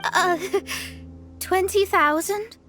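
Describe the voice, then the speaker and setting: A proud young female astrologist from a video game. Her voice is high and her wording formal, with a haughty, articulate delivery. Soft music sits underneath. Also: very slow, full character voice. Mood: flustered, feigned composure. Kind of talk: English video game voice acting. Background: background music.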